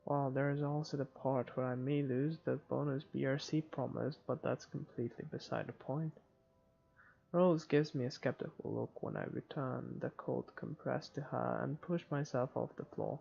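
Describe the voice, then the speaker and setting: A man's voice: mid-range voice, steady tone, normal speed, vocal fry, mellow, highs cut off, a little hiss or hum. A man reading a visual novel aloud as dramatic narration for a playthrough.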